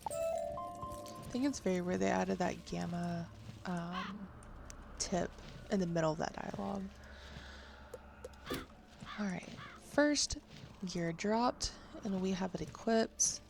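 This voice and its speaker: A woman's voice: on the low side, animated, takes it slowly, vocal fry, pauses often, darkish tone. A woman giving casual game walkthrough commentary as a gaming video creator. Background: game music.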